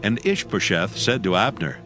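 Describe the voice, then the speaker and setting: A man narrating dramatized scripture from a script in a measured, formal manner. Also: lowish voice, animated, music in the background.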